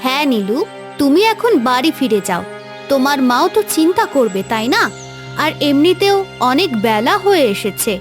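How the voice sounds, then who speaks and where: A woman voicing a gentle, reassuring confidante in a fairy-tale cartoon, soothing and coaxing. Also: high voice, sing-song, normal speed, over music. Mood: gently advising. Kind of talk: Bengali fairy-tale cartoon dub acting.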